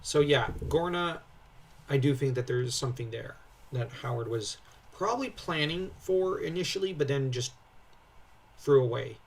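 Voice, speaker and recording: A man's voice: mid-range voice, moderate pace, vocal fry, far from the mic, low-quality recording. A young man co-hosting a casual lore breakdown, talking in an enthusiastic, rambling way full of tangents. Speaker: co-host commentator.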